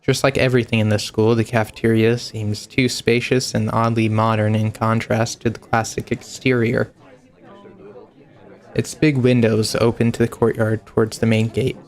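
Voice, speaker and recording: A young man streaming, reading a visual novel aloud in a relaxed, casual way. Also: fairly low pitch, moderate pace. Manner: relaxed, casual, giggly asides.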